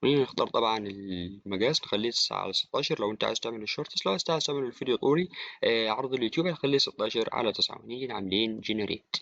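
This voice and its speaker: A man with an Egyptian accent giving an informal software tutorial, talking to the viewer like a friend. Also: lowish voice, very fast, creaky, no room sound. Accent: Egyptian Arabic. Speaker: tutorial presenter. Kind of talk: casual screen-recorded software tutorial. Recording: dry, slightly dull, with clicking.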